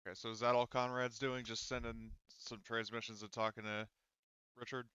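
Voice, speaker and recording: A man with a clear, smooth voice works as a game master, talking casually through a tabletop session. The recording is dry and slightly dull, with no room around the voice. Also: medium pitch, flat delivery, normal speed, pushed and bright, dry recording.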